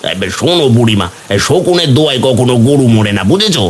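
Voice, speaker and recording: A man playing a rude, stingy village snack seller in a cartoon story, gruff and dismissive as he scolds. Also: medium pitch, animated, fast talker, slight background noise, close to an everyday voice. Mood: scornful. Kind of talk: Bengali cartoon story dubbing.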